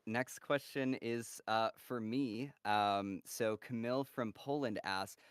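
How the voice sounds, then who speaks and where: A man with a lowish, smooth and clear voice hosts a casual live-stream Q&A. He reads out fan questions in an easygoing way, full of ums and likes. The sound is dry and close. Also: no echo. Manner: relaxed, filler-heavy, reads questions aloud. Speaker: host reading fan questions.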